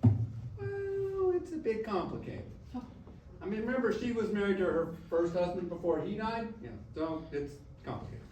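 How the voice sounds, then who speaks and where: A man acts out an old grandfather in a staged musical reading, wry and affectionate but evasive. His voice sits high for a man and hedges, doubling back on words. It is picked up from a distance in an echoing room. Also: lively intonation, normal speed, warm tone, a little hiss or hum. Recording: roomy, distant stage recording with piano.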